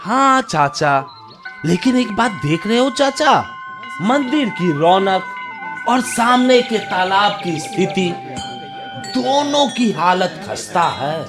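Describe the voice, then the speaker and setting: A man's voice: high voice, expressive, slow pace, over music, full character voice. An actor playing a chatty, admiring young village man in a radio drama, talking in a respectful, familiar way. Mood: curious, friendly. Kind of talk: Hindi radio drama acting.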